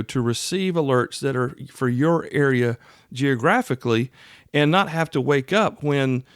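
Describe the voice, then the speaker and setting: A man's voice: medium pitch, a bit bright, no room sound, clean studio sound. A middle-aged man hosting a podcast, explaining things in an earnest, conversational, folksy way. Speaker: podcast host. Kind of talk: casual explanatory monologue.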